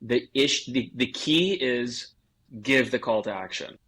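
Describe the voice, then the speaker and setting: A man's voice: medium pitch, takes it slowly, fairly bright tone, breathy edge. A man giving conversational expert advice as a podcast guest, explaining things point by point. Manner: explanatory, lists points in series, acts out sample phrases. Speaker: podcast guest, sales coach.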